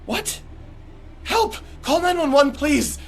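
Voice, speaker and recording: Man acting a distraught, high-voiced crash survivor. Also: animated, full character voice. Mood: screaming panic. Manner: halting, repeating words in desperation. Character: Joff, panicked, grief-stricken young male crash survivor. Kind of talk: dramatic screenplay table read. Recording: noisy table-read room recording.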